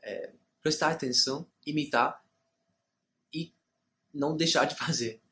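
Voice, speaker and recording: Bright-voiced man, halting and distant. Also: a little high. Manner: halting, repeating words. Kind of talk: casual advice.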